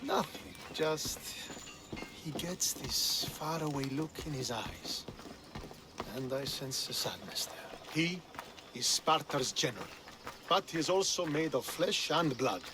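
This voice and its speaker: An actor playing a proud, loyal Spartan officer who speaks formally in a video game cutscene. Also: male voice, fairly high pitch, expressive, takes it slowly, noisy background. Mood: reverent, reflective. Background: music.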